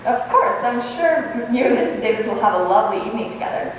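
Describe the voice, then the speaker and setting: A woman playing a wry, quick-witted schoolteacher with dry quips in a radio comedy. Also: a little high, animated, lightly acted. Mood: polite. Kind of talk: 1940s-style radio comedy acting. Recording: echoey hall from far off, faint noise.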